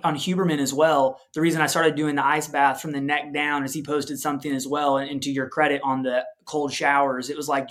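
A man in his early thirties hosts an interview podcast with a somewhat high voice. He talks in an upbeat, casual way with his guest. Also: fairly bright tone. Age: 30s. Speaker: podcast host.

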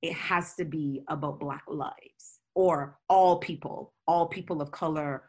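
A woman from Barbados, speaking as a scholar guest in a conversational interview, forthright and animated as she works through long thoughts. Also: low voice, unhurried.

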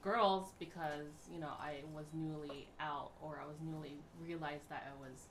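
Woman, late 30s, low and hesitant, echoey room. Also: steady tone, normal speed, slight background noise. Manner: hesitant, many "um"s, laid back. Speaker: co-host. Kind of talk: casual podcast chat.

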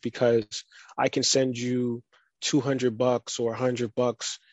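Guy rambling casually w lots of "like"s, flat voice, dry close sound. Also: medium pitch, slow, no room sound. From United States. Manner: relaxed, rambling, many "like" fillers. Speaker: guest. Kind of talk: casual podcast chat.

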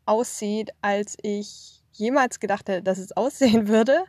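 A woman's voice: fairly high pitch, no echo, studio quality. Chatty woman in her thirties from Swabia. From Swabia, Germany. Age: 30s. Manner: rambling, with many fillers and laughs. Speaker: podcast host. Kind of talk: unscripted personal podcast monologue. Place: in a car.

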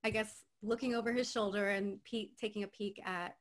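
A woman's voice: medium pitch, fairly even intonation, normal speed. A woman from Miami, Florida, a voice actor who is a guest on an interview podcast, talking in an upbeat way and laughing often. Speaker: guest, voice actor and agency owner. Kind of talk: conversational interview.

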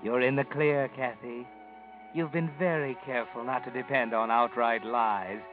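An actor playing a cold, knowing narrator who addresses the killer in a measured, coolly mocking tone, in 1940s radio drama narration. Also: male voice, mid-range voice, fairly even intonation, close to an everyday voice. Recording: tinny old radio broadcast with music bed.

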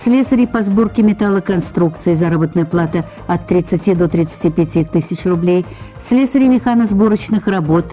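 A woman's voice: on the low side, fairly quick, warm tone, faint music behind. A woman radio announcer reading a scripted job advertisement.

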